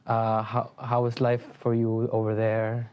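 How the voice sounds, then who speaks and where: Very low-voiced woman host. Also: monotone, measured pace, smooth, lo-fi sound. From Mexico. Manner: friendly prompting questions with short backchannels. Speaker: interviewer, host. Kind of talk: casual interview.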